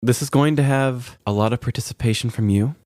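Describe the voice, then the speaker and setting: A man's voice: medium pitch, a little fast, a little mellow, no room sound, clean studio sound. A man co-hosting a podcast in casual, comedic chat with an offhand, joking manner. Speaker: podcast co-host.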